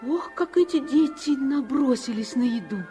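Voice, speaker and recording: A woman playing a sensitive, reflective narrator of her childhood, earnest and expressive, in 1950s Soviet radio style. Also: high voice, normal speed, soft background music. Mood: earnest, uneasy.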